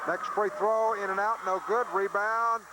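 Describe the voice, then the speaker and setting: A man doing live radio play-by-play of a high school basketball game in clipped running phrases that grow excited on big plays, on old noisy tape audio. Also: unhurried. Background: loud gym noise.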